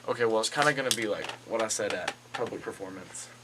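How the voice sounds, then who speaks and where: A teenage boy, a high school student actor, reflecting casually in an interview with lots of "like". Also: fast. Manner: informal, filler-heavy "like". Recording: clearly noisy room recording.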